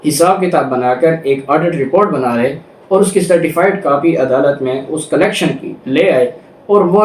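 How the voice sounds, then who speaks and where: Male law lecturer, Pakistani accent, fairly high register, methodical explaining with English terms mixed in, recorded in a reverberant room. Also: darkish tone. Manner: reads English clauses slowly, then explains with examples, code-switching. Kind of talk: explanatory lecture, reading statutes then explaining. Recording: echoey room with clear background noise.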